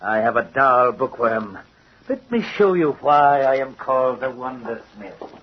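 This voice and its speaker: A man's voice: medium pitch, lively intonation, unhurried, slight background noise, natural voice. Man acting vengeful doll-maker, muffled old radio horror. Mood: menacing, exultant. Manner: theatrical, menacing, mocking. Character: vengeful, gloating male doll-maker and murderer.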